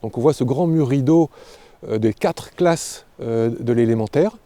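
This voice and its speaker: A man with a very dark, mellow voice of average pitch gives an unscripted guided explanation. He speaks like an architect presenting a project, feeling for words and repeating them as he goes. The sound is dry and close. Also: no room sound. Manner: halting, hesitant, repeats words while explaining. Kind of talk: spontaneous guided-tour explanation.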